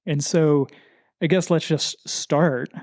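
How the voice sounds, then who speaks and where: A man's voice: fairly high pitch, steady tone, slow, a little mellow, dry recording, clean studio sound. A man hosting a cycling podcast, introducing his guest and asking loosely phrased questions. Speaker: podcast host, cycling coach. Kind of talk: conversational podcast interview.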